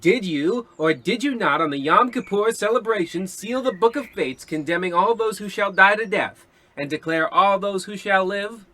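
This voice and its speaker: A man's voice: high voice, normal speed, bright, forceful tone. An actor playing a brash, hard-boiled police detective in old-time radio comedy style, with punchy tough-guy delivery. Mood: stern, pressing.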